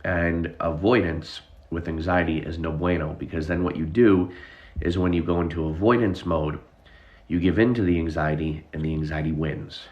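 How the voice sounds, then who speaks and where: A man's voice: low-pitched, fairly even intonation, normal speed, darkish tone, highs cut off. A man with a nasal, congested voice from a chest cough, talking casually on a live stream as an anxiety therapist, full of fillers and restarts. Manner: rambling, many fillers and restarts. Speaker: anxiety relief therapist and hypnotist.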